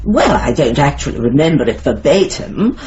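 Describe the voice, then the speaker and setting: A woman's voice: low-pitched, animated, normal speed, dark tone. An actress playing a haughty, self-assured English woman witness, curt and precise, in a British radio drama. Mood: brisk, certain. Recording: dull lo-fi radio recording, clear noise.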